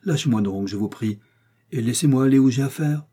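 A man's voice: medium pitch, fairly quick, warm tone, slightly rough, natural voice. A man reading aloud and voicing a young, hot-headed male swordsman in a hurry, speaking in a heated, defiant manner. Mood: hurried.

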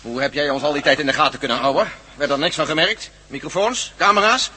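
An actor playing a practical, defiant leader of captured adventurers in a radio drama, questioning and commanding. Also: male voice, fairly high pitch, quick pace, pushed and bright, slight background noise.

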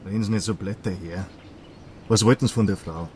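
An actor plays a bullying Bavarian policeman in a radio crime drama. He fires off curt, sneering threats at a fast pace. A faint rumble sits behind his voice. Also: warm tone, lightly acted. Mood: hostile, suspicious. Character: hostile, bullying, contemptuous plainclothes policeman.

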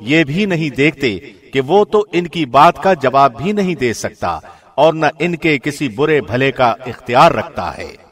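A man reads a scripture translation in a solemn, measured and formal way, like a professional radio presenter. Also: medium pitch, animated.

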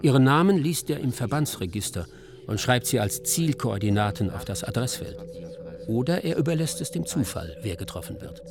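A man narrating a scripted dramatic radio documentary in a solemn, measured, literary manner. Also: medium pitch, sing-song.

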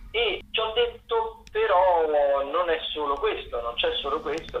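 A man with a bright, forward voice presents radio arts listings. He speaks hesitantly, often reaching for the next word. The recording is roomy and echoey, with faint noise behind him. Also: medium pitch. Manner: halting, hesitant, searching for words. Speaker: radio theatre correspondent. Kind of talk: spoken listings of theatre and dance events.

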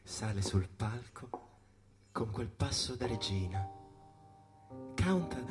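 An actor playing a smitten, gin-soaked saxophonist reminiscing in a lingering, wistful theatrical monologue. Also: male voice, medium pitch. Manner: lingering, repeats phrases, wistful. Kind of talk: theatrical narrative monologue over jazz. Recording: music bed under the voice. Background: jazz music.

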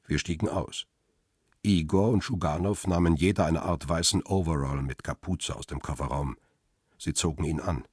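A hunted photographer narrating his own radio thriller. His voice is very deep and husky, recorded close and dry. He describes things in a measured, tense way. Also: no echo, heavily acted. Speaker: narrator and protagonist. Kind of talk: radio crime drama narration.

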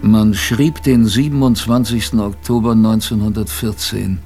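An actor playing an old seafarer in a radio drama, colloquially reminiscing about his youth in a gravelly voice. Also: lowish voice, steady tone, a little mellow, soft background music, lightly acted. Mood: fond reminiscing. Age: older. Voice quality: gravelly, creaky. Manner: colloquial, unhurried raconteur.

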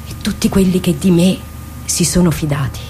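A woman playing a loyal soldier in a radio drama, speaking in an earnest, measured and emotional way. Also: fairly low pitch, dark tone, slight background noise, lightly acted. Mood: moved, devoted. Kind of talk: theatrical radio drama monologue.

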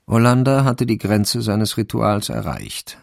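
A man narrating a literary crime story for a radio drama in a creaky voice. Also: fairly low pitch, animated, measured pace, no echo, studio quality.

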